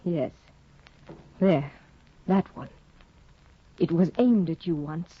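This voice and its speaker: An actress in a 1950s radio play, voicing a cold, controlling aristocratic woman who speaks in a cool, measured way. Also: fairly low pitch, slightly rough. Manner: cool, measured, quietly manipulative. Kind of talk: 1950s radio drama acting. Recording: dull, dry 1950 radio broadcast.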